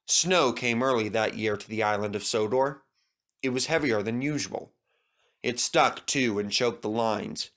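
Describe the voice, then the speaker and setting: A man's voice: mid-range voice, measured pace, fairly bright tone. A man reading a scripted children's story as its narrator.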